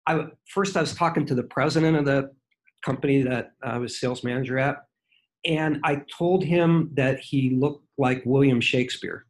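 A man in his sixties, a podcast guest, telling self-deprecating stories in casual chat, with many fillers and restarts. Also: mid-range voice. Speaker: guest, franchise consultant. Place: home.

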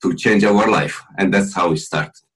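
A man in his forties speaking English with an Italian accent, his voice low and a little husky. He chats easily and jokes as he talks a podcast host through his story. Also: normal speed. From Italy. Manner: chatty, joking, laughs often. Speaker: guest property investor. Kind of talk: podcast interview.

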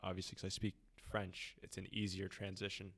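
A young man hosts a casual student podcast in an easygoing, teasing way. His voice sits a little low, and he trades stories freely with his guest. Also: completely flat delivery, moderate pace, a bit bright. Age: young adult. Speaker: podcast host. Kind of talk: casual chat.

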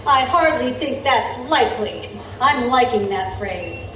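A woman's voice: on the high side, lively intonation, unhurried, fairly bright tone. A woman playing a bold, wisecracking reporter heroine in a period audio drama, sassy, defiant and dryly sarcastic. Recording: echoey, distant, with noisy ambience.

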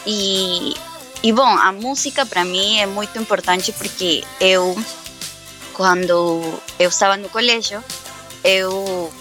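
Young woman, slow and halting, bright voice, little laughs, soft music behind. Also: mid-range voice. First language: Spanish. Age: young adult. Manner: hesitant, halting, often restarting words and laughing. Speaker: musician guest. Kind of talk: live interview.

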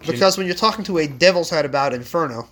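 A man hosting a podcast, slightly hoarse after an illness, chatting casually and reading fortune cards aloud with wry mock drama. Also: on the high side, lively intonation, fast talker, a bit bright. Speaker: podcast host. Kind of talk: casual chat. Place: home library.

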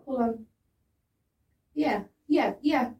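A woman's voice: on the high side, very slow, mellow. A woman in her thirties chatting casually, swearing freely and laughing often. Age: 30s. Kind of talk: casual chat. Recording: roomy, from a distance.

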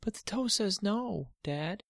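Squeaky, whiny little girl, easily scared, put on by a man in a storybook reading, with a gravelly edge. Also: expressive, slow pace, no echo, studio quality, exaggerated voice. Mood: pleading. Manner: high whiny childish voice. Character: small scared young girl.

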